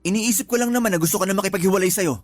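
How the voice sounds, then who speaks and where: Weary, fed-up boyfriend. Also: male voice, high voice, racing, dry recording. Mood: weary, blunt. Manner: exasperated, firm. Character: weary, fed-up male live-in partner, resentful. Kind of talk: Tagalog radio drama acting. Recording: faint music underneath.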